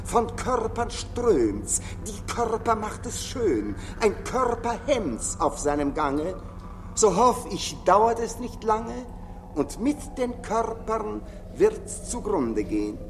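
An actor playing a devilish apparition, declaiming classical verse slowly and theatrically, with scorn. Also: male voice, high-pitched, very animated, full character voice. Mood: scornful, declaiming. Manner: slow, declamatory. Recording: long hall reverb with noisy ambience.

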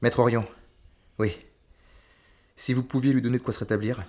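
A man playing a gruff, impatient resistance fighter in an audio drama, speaking curtly. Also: medium pitch, steady tone, normal speed, darkish tone, slight background noise, natural voice. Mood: sober, respectful.

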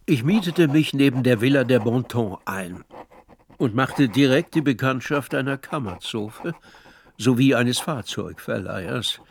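Obliging manservant, mid-pitched. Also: male voice, lively intonation, measured pace, creaky, a little hiss or hum. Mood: dutiful reporting. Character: obliging male servant reporting scandalous findings to his master. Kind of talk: radio drama letter monologue.

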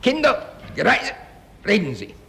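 A man's voice: high-pitched, huge pitch swings, a little slow, vocal fry, pitched above the performer's own voice, exaggerated voice. An actor voicing a domineering, menacing male SS officer who barks his words in a radio drama. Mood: barking, threatening. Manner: shouting, barking, menacing. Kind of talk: quoted figure in radio drama testimony. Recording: reverberant hall with faint noise.

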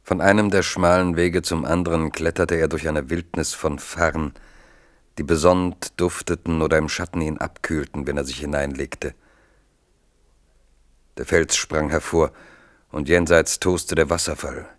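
A male narrator with a very deep voice reads a literary radio drama slowly and deliberately. His voice sounds dry and close, with no room echo. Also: fairly even intonation. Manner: measured, deliberate.

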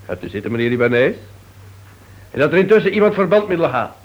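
Animated, insistent investigator. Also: male voice, on the high side, fast talker. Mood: assured. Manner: polite, pointed questioning. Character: self-assured, reassuring yet insistent male investigator. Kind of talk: Dutch radio play acting. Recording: dull old radio recording.

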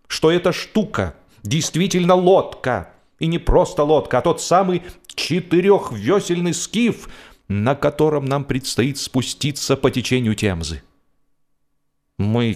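A man narrating a humorous literary classic in an expressive, theatrical and wry way, with deliberate phrasing. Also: fairly high pitch. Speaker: audiobook narrator and actor. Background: music.

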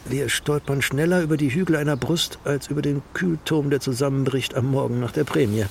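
A sombre, self-denying party official played by a man in a radio drama. His voice is creaky and restrained, at an average pitch, over faint ambience. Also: a little fast, a little mellow, slight background noise, natural voice. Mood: firm.

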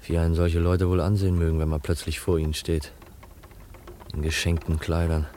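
A man's voice: low voice, level tone, brisk, mellow, close to an everyday voice. A man playing a shy, proud, lonely young orphan in a 1950s German radio drama, hesitant and brooding, on a noisy old recording. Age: young adult.

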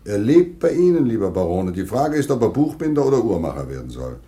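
Radio play, genial town mayor, mellow timbre, very lively intonation, formal and persuasive. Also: male voice, on the low side, fast talker. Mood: emphatic, persuading. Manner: formal, emphatic, conciliatory. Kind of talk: German radio play acting.

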